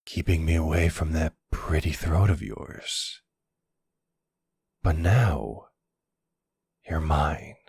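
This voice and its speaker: Male actor as smug vampire seducer, ASMR roleplay, slow taunting drawl, gravelly fry, close dry sound. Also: fairly even intonation, darkish tone, dry recording, full character voice. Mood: menacing, possessive. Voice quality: low, gravelly, husky.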